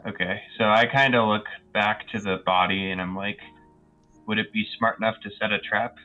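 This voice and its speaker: Lowish, bright-edged man playing a tabletop game. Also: fairly even intonation, normal speed, lo-fi sound, soft background music. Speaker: tabletop roleplay player. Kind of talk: casual in-character roleplay and description.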